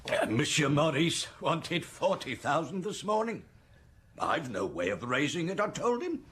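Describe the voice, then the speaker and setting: An actor playing a middle-aged estate steward in an audio drama, aggrieved and gossipy, with a grumbling, knowing manner. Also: male voice, on the high side, sing-song, a little slow, a bit bright.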